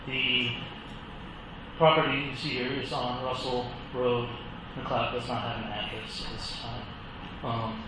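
A man's voice: mid-range voice, little pitch movement, measured pace. A man, an engineer, explaining an application to a board, with frequent ums and uhs. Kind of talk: public hearing testimony. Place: public meeting chamber. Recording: distant, echoey chamber with noisy background.

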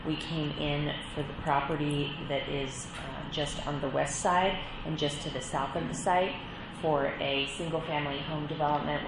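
A woman presenting a development application to a board, brisk and practiced. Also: sits low. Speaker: applicant's representative. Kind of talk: public hearing presentation. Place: public meeting chamber. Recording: very echoey chamber with loud background noise.

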